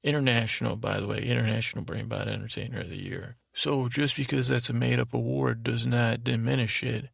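Male bedtime podcast host, heavily creaky, narrow droning delivery, self-deprecating rambler who trails off and circles back. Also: medium pitch, steady tone. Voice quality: gravelly, creaky. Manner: meandering, halting, deliberately dull drone.

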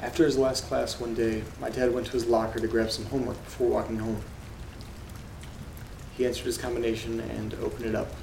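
A man acting in a horror audio drama as an uneasy letter reader, telling things in a subdued, measured way with dramatic pauses. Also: mid-range voice, flat delivery, gravelly, natural voice. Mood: somber, ominous. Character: uneasy man reading creepy letters aloud alone. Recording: roomy sound with rain and noise. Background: rain and thunder ambience.

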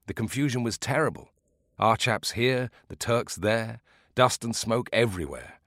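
A man voicing an old Greek war veteran in a storybook, who tells his tale in a dramatic, digressive, drawn-out way. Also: medium pitch, fairly bright tone, no echo, clean studio sound, voice pushed up, natural voice. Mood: excited reminiscence.

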